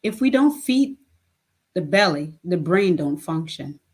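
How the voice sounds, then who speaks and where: Woman, 50s, lower register, plain-spoken interview guest speaking in short, emphatic statements. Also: lively intonation, unhurried, a little mellow, husky. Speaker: guest, children's charity founder. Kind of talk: conversational interview answers.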